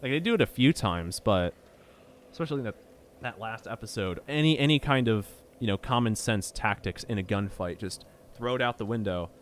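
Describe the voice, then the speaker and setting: A man's voice: on the low side, animated, fairly quick, a little hiss or hum. A young man chatting casually while playing a game, rambling, stammering over his words and swearing when something annoys him. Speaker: gameplay streamer. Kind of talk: casual chat while gaming.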